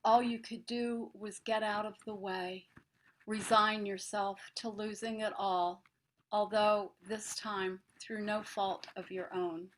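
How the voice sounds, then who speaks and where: A woman recites poetry at a very slow pace in a medium-pitched voice. There is faint noise in the recording. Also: fairly even intonation, poor audio. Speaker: poet.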